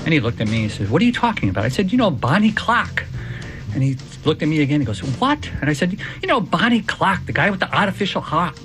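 A man, calling in and telling a story in an animated way. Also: fairly high pitch, fast talker, soft background music. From Rhode Island. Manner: animated anecdote teller. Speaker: caller.

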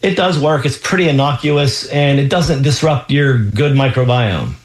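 A man's voice: medium pitch, moderate pace. A man hosting a call-in radio health show as a physician, explaining answers with frequent ums and restarts.

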